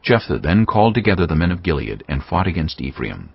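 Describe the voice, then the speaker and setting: A man with an extremely low, husky voice narrates scripture. His scripted storytelling has wide, dramatic intonation, and the recording is a little dull. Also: big pitch swings, fast talker.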